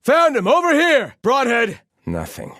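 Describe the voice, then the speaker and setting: An actor playing a haughty, devout soldier of a sun-worshipping empire in a video game, with a formal, disdainful delivery. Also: male voice, very high-pitched, wildly swooping pitch, unhurried, pushed and bright, no room sound, studio quality, heavily acted. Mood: urgent battle shouting, then stern, contemptuous. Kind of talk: scripted video game barks and lines.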